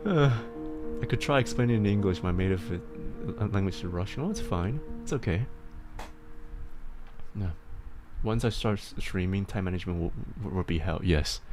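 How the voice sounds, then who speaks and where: Giggly young man, low voice. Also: lively intonation, normal speed, over music. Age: young adult. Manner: rambling and giggly, with frequent laughs and restarts. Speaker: host giving advice on submitted struggles. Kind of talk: casual livestream advice chat.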